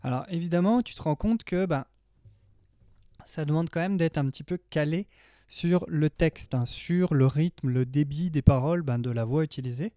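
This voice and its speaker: A man's voice: a little high, moderate pace, mellow, dry recording. A man in his thirties giving a casual, chatty, slangy tutorial as a music production tutor. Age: 30s. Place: small home studio indoors.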